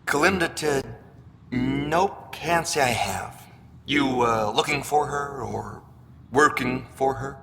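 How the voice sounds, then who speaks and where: A man playing a shifty, nervous scavenger merchant in a video game, smooth-talking and evasive with anxious hedging. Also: mid-range voice, slow, a bit bright, in a large hall, a little hiss or hum.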